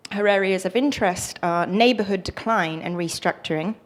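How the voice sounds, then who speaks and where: Woman host introducing a guest speaker. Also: fairly low pitch. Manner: reads the introduction with occasional fillers. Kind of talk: introductory remarks. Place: lecture hall.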